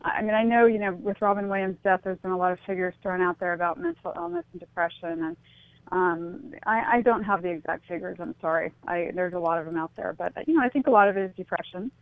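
A woman's voice: on the low side, expressive, fast talker, dry recording. A woman speaking as an interview guest over the phone, thoughtful and tentative, searching for words as she goes. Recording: tinny narrowband phone line.

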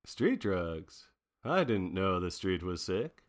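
Slow, wry 1940s noir detective played by a man. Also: low-pitched, very animated, soft background music, exaggerated voice. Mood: sardonic, teasing. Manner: wry, theatrical, wordy. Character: wry, self-deprecating 1940s private detective, adult man, unflappable. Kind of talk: 1940s noir radio drama narration. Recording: long reverberant hall sound.

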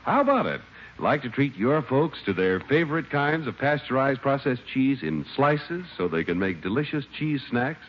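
A man's voice: mid-range voice, a little hiss or hum. A man delivering scripted announcements and advertising as a 1950s radio announcer. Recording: muffled old radio broadcast with music.

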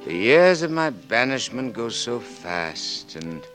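An actor playing a dedicated French scientist in a measured, theatrical 1940s radio drama style that can swell to urgency. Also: male voice, mid-range voice, sing-song. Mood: reflective. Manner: measured, deliberate, bursting into urgent shouts. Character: impassioned, dedicated French male scientist, no longer young. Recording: vintage 1940s radio broadcast with music.

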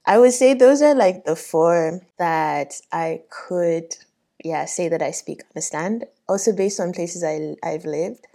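A woman's voice: on the low side, measured pace, vocal fry, dark tone. A woman from Ghana in casual, self-deprecating conversation. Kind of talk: casual chat.

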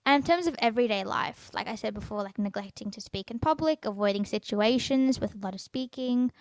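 A teenage girl hosting her own podcast, talking in a halting way with stutters, fillers and restarts, often trailing off quietly. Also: mid-range voice, animated, no echo. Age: teens. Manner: soft, trails off quietly at phrase ends. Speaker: podcast host. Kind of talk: solo personal podcast.

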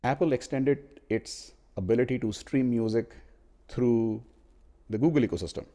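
A man's voice: mid-range voice, moderate pace, dark tone. A man whose first language is Hindi, co-hosting a casual tech discussion and telling a consumer story in a thoughtful way, with frequent restarts. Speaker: co-host.

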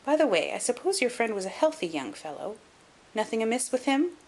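Gentleman sleuth read by a woman, composed and dry, articulate storybook dialogue with a lively, varied melody. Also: on the high side, brisk, voice pushed up. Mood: composed, polite. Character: shrewd, composed gentleman detective, dryly superior. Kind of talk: audiobook character acting.

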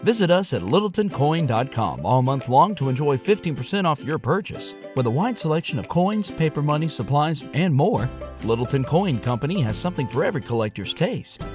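A man's voice: a little high, big pitch swings, fairly quick, a little mellow. A man reading a scripted radio-style ad in an upbeat, promotional voice. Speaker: ad announcer. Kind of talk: scripted ad read. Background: music.

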